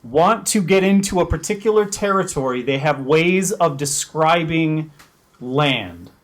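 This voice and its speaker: Slow-talking man on a podcast. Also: a little high, lively intonation. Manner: deliberate, builds up points, swears and laughs. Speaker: podcast host. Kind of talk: casual chat.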